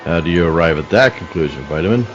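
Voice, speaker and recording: A man playing an authoritative police detective in a radio play, deliberate and no-nonsense. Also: low voice, animated, muffled recording. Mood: businesslike.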